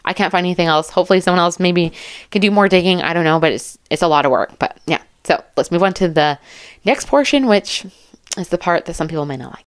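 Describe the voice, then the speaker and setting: A woman's voice: on the low side, animated, fast talker, clear, resonant tone, dry recording, clean studio sound. A woman giving casual true crime commentary, chatty and rambling, with an emphatic, informal way of talking.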